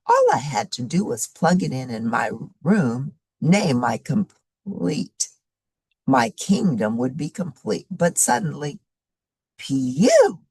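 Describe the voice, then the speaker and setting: A woman voicing a laid-back, amusing couch potato who tells his story in a dramatic, expressive storybook manner. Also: sits low, slow pace, husky, no echo, full character voice.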